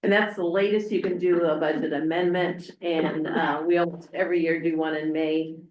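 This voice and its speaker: A woman's voice: low-pitched, steady tone, normal speed, a little mellow, a little hiss or hum. A woman speaking as a city clerk, explaining procedure at a public meeting in a council room. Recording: dull, echoey meeting room audio.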